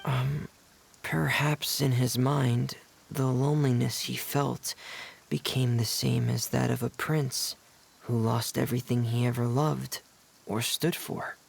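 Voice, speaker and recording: A man playing a serious, careful doctor-like character in a video game, speaking in a measured, solemn way. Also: medium pitch, steady tone, gravelly, slight background noise. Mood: grave.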